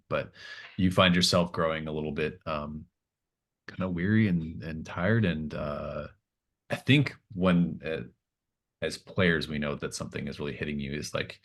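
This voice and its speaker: A man with a very low voice runs a tabletop roleplaying game. He improvises scenes in halting, tentative phrases, thinking aloud as he goes. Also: a bit bright. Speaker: game master.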